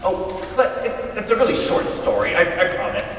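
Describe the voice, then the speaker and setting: A man's voice: high voice, huge pitch swings, moderate pace, fairly bright tone, full character voice. A man acting as an eager, cheeky family storyteller on stage, theatrically mimicking both sides of conversations. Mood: playful. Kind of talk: staged family anecdote with acted quotes. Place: large hall, live stage performance. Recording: very echoey hall, distant mic, faint noise.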